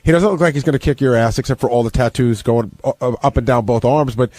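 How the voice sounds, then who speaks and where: Radio commentator, male, middle register, quick delivery, chatty storyteller, dry studio sound. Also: mid-range voice, warm tone, dry recording. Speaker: radio show staffer and commentator. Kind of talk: casual radio recap chat.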